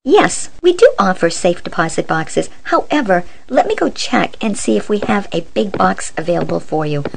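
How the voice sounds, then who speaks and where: A woman's voice: mid-range voice, very animated, normal speed, creaky, few pauses, a little hiss or hum. A woman playing a jaded, sardonic bank clerk with a polite front in an amateur radio play. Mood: courteous.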